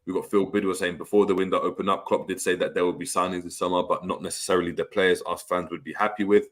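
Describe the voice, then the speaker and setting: A man's voice: sits low, fairly even intonation, fast talker. A young man, hosting a casual football chat and reading out viewer comments, slangy and prone to repeating words. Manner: laid-back, slangy, stumbles and repeats words. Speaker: podcast host.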